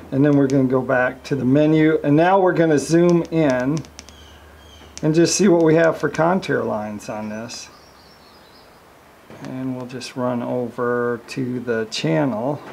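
A man's voice: mid-range voice, gravelly, slight background noise. A man walking through the setup of a boat navigation chart card in a casual hands-on demonstration, with many fillers. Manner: relaxed, many fillers, talks through each step. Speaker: marine electronics reviewer.

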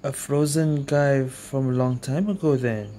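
A woman's voice: very deep voice, steady tone, measured pace, mellow. A woman giving casual commentary as she plays through a video game. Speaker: gamer narrating a playthrough. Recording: game music behind the voice. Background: video game music and sounds.

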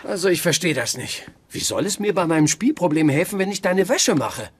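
Hapless, whiny sitcom gambler, highish voice. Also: male voice, lively intonation, fairly quick, a little hiss or hum. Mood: put-upon, complaining. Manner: complaining, hesitant. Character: hapless, whiny gambler under another's orders. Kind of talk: dubbed sitcom acting.

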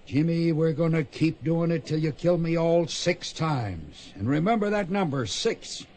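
An actor playing a fond, gruff Old West gunfighting mentor with folksy country phrasing in a radio drama. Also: male voice, on the high side, expressive, unhurried, a little mellow, slight background noise. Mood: instructing. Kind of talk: radio drama acting, western.

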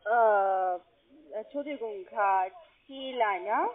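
Halting foreign-accented woman on tinny phone. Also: mid-range voice. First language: not German. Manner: halting, ends phrases on questioning tags. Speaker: call centre agent. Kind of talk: telephone sales pitch. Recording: tinny narrow phone line.

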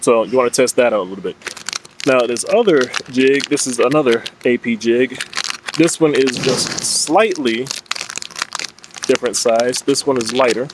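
A man in his thirties talks outdoors with faint noise behind him. His voice sits at a middle pitch. He explains fishing gear in an informal, plain-spoken, instructive way, like a vlogger talking to camera. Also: moderate pace. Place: outdoors under leafy trees. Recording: clear steady outdoor background noise.

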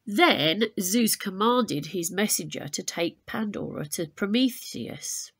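A woman teacher reading a children's picture book aloud expressively, with deliberate, dramatic emphasis on key words. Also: medium pitch, animated, gravelly, a bit bright, husky. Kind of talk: expressive storybook read-aloud.

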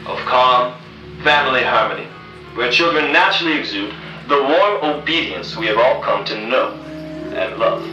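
A young man narrates with measured, dramatic pauses in mock suspense. His voice is forceful and middle-pitched, echoey, with faint music behind. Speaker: host narrator. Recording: dull, echoey, slightly distant, music behind.

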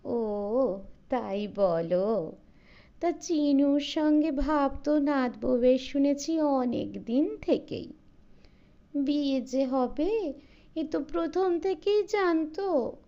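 A high-pitched, nosy old great-aunt from a storybook, acted out by a woman reader. She chatters in exclamations and needles with teasing, sharp-tongued remarks, her voice lifting well up at times. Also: lively intonation, slow, long pauses, lo-fi sound, exaggerated voice. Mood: sly teasing. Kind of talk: storybook character voice.

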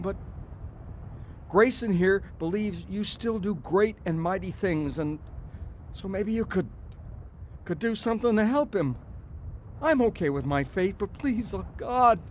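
An actor playing an earnest, emotional brother telling of his ordeal in a radio drama, with halting delivery. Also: high voice, a little hiss or hum. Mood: desperate pleading. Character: anguished, repentant brother narrating his blizzard ordeal. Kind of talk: radio drama acting and narration.

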